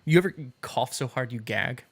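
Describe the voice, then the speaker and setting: A man hosting a gaming podcast in casual chat, his voice hoarse from a night of coughing, chatty and quick to laugh. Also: mid-range voice, animated, fairly bright tone. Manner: chatty, laughs often, rambling. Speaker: podcast host.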